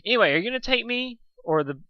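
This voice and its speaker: A man's voice: extremely high pitch, lively intonation, quick pace, no echo, lo-fi sound, voice pushed up. A man voicing a brash, swaggering female cartoon pony with a cocky, wheedling delivery. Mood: cocky, pushy.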